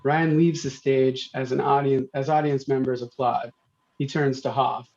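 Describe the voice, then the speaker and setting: A man's voice: mid-range voice, little pitch movement, normal speed, darkish tone. A man reading stage directions as scripted narration for a comedy table read. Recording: slightly dull, lo-fi sound.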